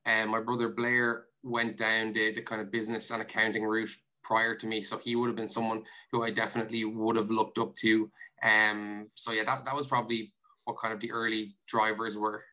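Irish male podcast guest, bright forward voice, level intonation, reflective and measured. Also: fairly low pitch, flat delivery, rough recording. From Ireland. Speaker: podcast guest, investment and finance director. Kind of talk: conversational interview answers.

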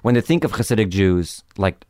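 A man from Brooklyn with a New York Yiddish accent and a slight Israeli tinge, a native Yiddish speaker chatting casually as an interview guest in a halting, wry, informal way. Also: on the low side, animated, darkish tone, no echo, clean studio sound.

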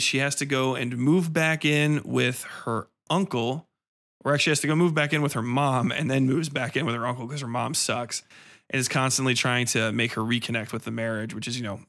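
A man's voice: medium pitch, fairly even intonation, normal speed, a bit bright. A man hosting a review podcast, talking casually and off the cuff in a blunt, colloquial way with plenty of swearing.

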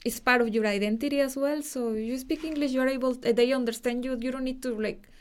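A Mexican woman, a native Spanish speaker, chatting as a podcast guest, halting at times as she searches for words in English. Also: high voice, fairly even intonation, clean tone. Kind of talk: casual interview chat.

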